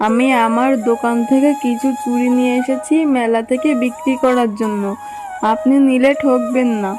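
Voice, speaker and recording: A high-voiced market woman from a kids' cartoon, acted by a woman. She is a coaxing, firm bangle seller, heard over clear background music. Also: level tone, moderate pace, close to an everyday voice. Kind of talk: children's cartoon dialogue. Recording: music behind the voice.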